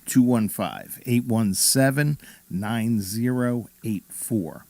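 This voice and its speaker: A man's voice: medium pitch, slow, a little mellow, no room sound. A man chatting casually as a mortgage broker guest on a talk show.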